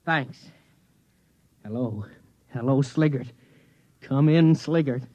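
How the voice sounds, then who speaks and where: An actor playing a nervous, exasperated space explorer in a 1950s radio drama, with wry narration and dialogue. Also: male voice, medium pitch, slow pace, darkish tone. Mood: panicked. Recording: dull vintage radio recording.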